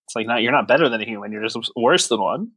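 A man's voice: mid-range voice, expressive, fast talker, fairly bright tone, breathy edge, no room sound. Sarcastic man with a dry, close sound. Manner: sarcastic, teasing, mock-grandiose. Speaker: podcast co-host. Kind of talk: casual joking podcast chat. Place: recorded remotely over a voice chat app.

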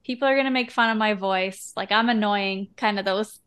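A woman's voice: mid-range voice, fairly quick, a bit bright, smooth. A woman hosting a podcast, chatting casually and candidly in a reflective, informal way. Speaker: podcast host. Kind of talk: casual conversational interview.

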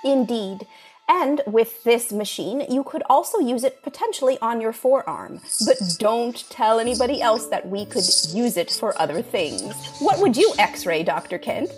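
Lively, high-voiced woman improvising a mock advert. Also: moderate pace, faint music behind. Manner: mock-earnest expert pitch. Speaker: improv comedy performer. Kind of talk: improvised parody radio commercial.

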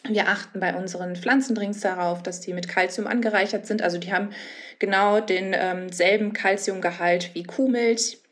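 A woman's voice: medium pitch, steady tone, normal speed. A woman speaking as an interviewed nutrition expert, explaining informally and often adding tag questions. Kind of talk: spontaneous explanatory interview talk.

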